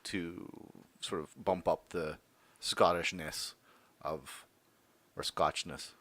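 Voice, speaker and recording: A man from Windsor, Canada, talks in a very low voice as a podcast co-host. He tells anecdotes at an easy pace and laughs often, recorded dry and close. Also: slow pace, dry recording. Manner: relaxed, laughs easily, anecdotal. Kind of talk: casual chat.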